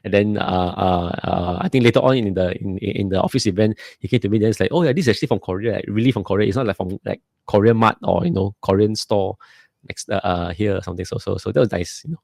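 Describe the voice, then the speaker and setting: A man rambles through a relaxed podcast chat in a lowish, gravelly voice. He halts often, stacking fillers and repeated words. The sound is dry and close. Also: quick pace, dry recording. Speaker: podcast co-host. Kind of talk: casual chat.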